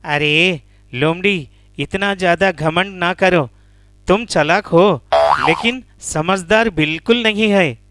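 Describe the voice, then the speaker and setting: A man voicing a clever, caring deer in an animal fable, speaking in a calm, advising manner. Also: high-pitched, slow, clean tone, no echo. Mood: reproving.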